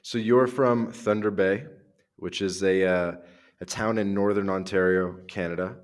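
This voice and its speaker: A man hosting a podcast, asking questions in a casual, easygoing way with frequent ums and pauses. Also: sits low, fairly even intonation. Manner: easygoing, hesitant, many ums and uhs. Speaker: podcast host. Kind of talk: casual interview.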